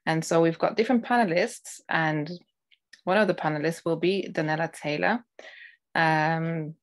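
A woman in her thirties hosting an informal interview on a video call, speaking in a halting, hesitant way. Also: deep voice. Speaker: interviewer, host. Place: room indoors, on a video call.

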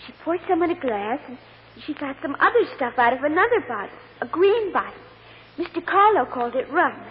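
High-voiced innocent little girl. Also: big pitch swings, fairly quick. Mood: hesitant, earnest. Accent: American. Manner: simple, hesitant childlike answers. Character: innocent, earnest little girl testifying in court. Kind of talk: vintage American radio drama acting. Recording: muffled old radio recording, echoey, faint hiss.

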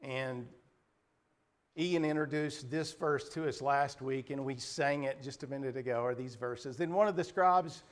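A middle-aged man preaching a sermon in a small church, slightly hoarse, earnest and halting, clearing his throat often. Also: medium pitch. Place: small wood-paneled church, indoors. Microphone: pulpit microphone at a distance.

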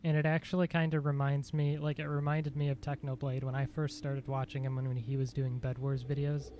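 A man streaming a game, chatting casually in a dry, monotone voice, rambling with fillers and self-corrections. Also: medium pitch, a little fast, background noise. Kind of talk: casual live-stream chat.

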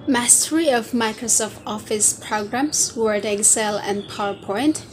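A woman's voice: fairly high pitch, a little slow, soft background music, rough recording. Young Indonesian woman, rehearsed and careful. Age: 20s. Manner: careful, list-like, rehearsed delivery. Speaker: job-seeking student. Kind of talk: recited self-presentation for a video CV. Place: open-air wooden pavilion among trees. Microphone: lapel mic.

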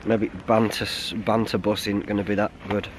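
English man, 30s, hiking vlogger; subdued, halting talk to a handheld camera outdoors, faint wind noise. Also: on the low side, flat delivery. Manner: subdued, halting and grieving, with many restarts. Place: outdoors on a windy winter mountain, sheltering behind a stone wall. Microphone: camera held close at arm's length.

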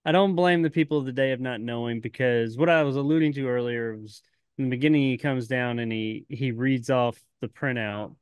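A man's voice: medium pitch, a little fast, clear, resonant tone, dry recording. A man co-hosting a podcast in casual chat, rambling and laughing often, swearing casually. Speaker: podcast co-host.

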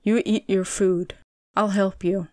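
A woman's voice: medium pitch, flat delivery, takes it slowly, darkish tone, dry recording, close to an everyday voice. A woman voicing a stubborn young city man, hurt and frustrated, in a storybook reading with a dry, close sound. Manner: pleading, emotional delivery.

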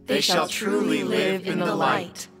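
A woman playing a devout believer who solemnly reads scripture aloud in a dubbed stage drama, measured and reverent. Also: fairly low pitch, steady tone. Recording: loud music under the voice.

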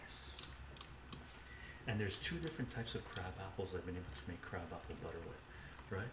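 A man's voice: sits low, sing-song, a little fast. An older man hosting a cooking live stream in his home kitchen, chatting easily and narrating each step aloud. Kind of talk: casual chat while cooking, reading chat. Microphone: camera mic some distance away. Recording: roomy, distant, noisy kitchen stream audio.

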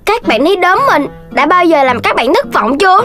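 A voice actor playing a cheerful little puppy and his young friends in a cartoon, in a chirpy, excitable childlike voice. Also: very high voice, a little fast. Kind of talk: cartoon dubbing. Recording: cartoon with music underneath.

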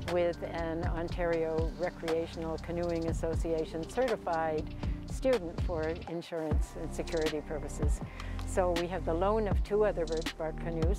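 An older woman presenting a community project to camera as its organiser, outdoors in an open park. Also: fairly low pitch. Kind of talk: event announcement to camera. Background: music.

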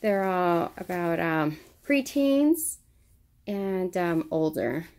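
Slow-paced woman, casual shopping vlogger. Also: lowish voice, big pitch swings, darkish tone. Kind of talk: casual narrated show-and-tell. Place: carpeted room at home.

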